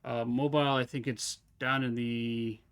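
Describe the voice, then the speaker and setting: A male streamer in a mid-pitched voice talks casually through a puzzle game. He thinks aloud as he picks up objects and chats with his viewers. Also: level tone, measured pace, clear, resonant tone. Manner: chatty, thinks aloud while solving puzzles. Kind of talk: casual live gameplay commentary.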